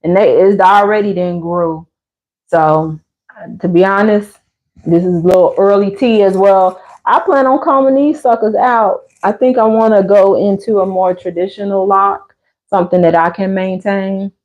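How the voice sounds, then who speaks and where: Woman, fifties, American accent, middle pitch, candid colloquial livestream chat, slightly dull lo-fi audio. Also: normal speed. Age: 50s. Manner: candid, conversational, colloquial, animated retelling with acted-out quotes. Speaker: livestream host. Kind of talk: casual livestream chat with viewers.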